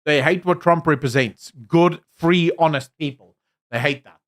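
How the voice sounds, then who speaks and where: A young adult man from South Africa whose Afrikaans accent has been smoothed by American and British influences. He hosts a casual live stream, reading chat messages aloud. His opinions are emphatic, with frequent fillers and restarts. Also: on the high side, normal speed, a bit bright. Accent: Afrikaans South African, mixed with American and British. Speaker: livestream host reading chat.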